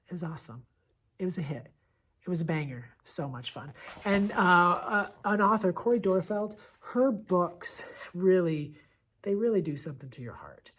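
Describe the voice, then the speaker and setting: An American man, a schoolteacher, recommending books in a casual vlog with an enthusiastic, gushing and emphatic manner. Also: on the high side. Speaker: elementary school teacher and book reviewer.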